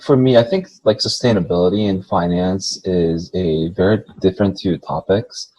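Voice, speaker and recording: A man's voice: low-pitched, moderate pace, a little mellow, poor audio. A man interviewing someone casually, with informal and somewhat hesitant phrasing.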